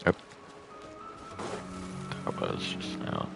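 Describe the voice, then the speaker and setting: A man's voice: extremely slow, over music. A man streaming a game, chatting casually as he plays and reading the dialogue aloud. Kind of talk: casual gameplay commentary.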